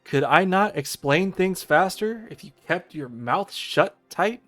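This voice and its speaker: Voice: mid-range voice, animated, a little slow, fairly bright tone, soft background music, voice pushed up. Someone putting on a haughty young girl goddess. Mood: impatient. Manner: elegant, imperious, formal speech.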